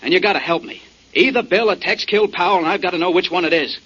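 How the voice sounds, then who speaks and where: A high, bright-voiced, hot-headed American guy in a 1940s radio crime drama. He is insistent, suspicious and slangy, on a muffled old broadcast recording. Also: quick pace, husky, heavily acted. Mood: urgent, pleading. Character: hot-headed, suspicious airport man demanding the police.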